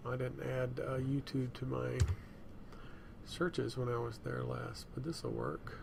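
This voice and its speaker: A man's voice: mid-range voice, little pitch movement, slow pace, vocal fry. A man giving a casual screencast demonstration, thinking aloud in a rambling way as he works. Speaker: software tutorial presenter. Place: indoors at a computer. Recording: noisy room with keyboard typing. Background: room noise and keyboard typing.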